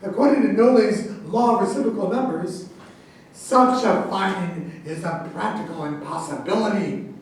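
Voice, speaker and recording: A man's voice: sits high, normal speed, a little hiss or hum. A man in a staged reading playing a scornful, argumentative debunker with a dismissive, sardonic delivery. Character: scornful, argumentative debunker in a mock academic dispute. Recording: very echoey room, far from mic.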